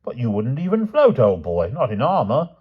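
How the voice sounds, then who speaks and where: A man's voice: medium pitch, huge pitch swings, moderate pace, breathy edge, dull audio, voice pushed up. A man voicing a bluff, pedantic knight who talks in a hearty, matter-of-fact way. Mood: soothing. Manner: hearty, chiding "old boy", matter-of-fact.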